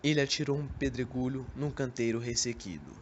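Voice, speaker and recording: A man's voice: medium pitch, brisk, highs cut off. Young male narrator, faintly noisy recording. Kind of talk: scripted literary radio drama narration.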